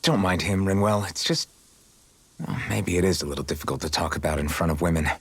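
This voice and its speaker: Low-voiced, earnest young hero. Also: male voice, brisk, breathy edge, dry recording. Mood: awkward. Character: earnest, mild-mannered young male hero. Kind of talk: video game voice acting. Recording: studio voice over game music.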